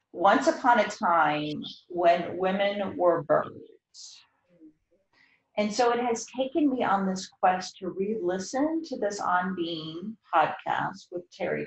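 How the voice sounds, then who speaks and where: A woman talking as a host in a reflective, conversational storytelling style, repeating phrases for deliberate dramatic emphasis. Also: medium pitch, roomy sound, muffled recording. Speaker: host introducing and discussing a book.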